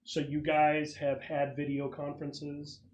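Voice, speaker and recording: A man acting as game master, explaining a tabletop roleplaying game to his players in a halting, explanatory way. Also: fairly high pitch, completely flat delivery, lo-fi sound. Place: room at a gaming table with friends. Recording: clear background noise with clicks.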